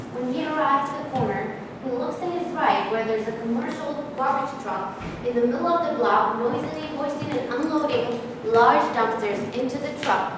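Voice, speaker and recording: A woman narrating scene headings and stage directions from a script at a staged play reading. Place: indoor live staged reading. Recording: echoey hall, distant mic, noisy rumble.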